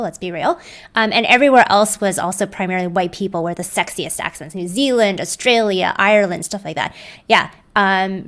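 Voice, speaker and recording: Conversational woman hosting a live panel. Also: mid-range voice. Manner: conversational, affirming, frequent "um" and "mm-hmm". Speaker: host. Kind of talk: livestream panel discussion.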